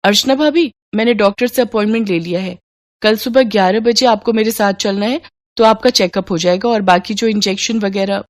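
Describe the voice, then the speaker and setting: Lady doing a nice helpful young sister in law for a story, polite, mid pitch, dry audio. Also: brisk, no room sound, close to an everyday voice. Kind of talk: dramatized moral story.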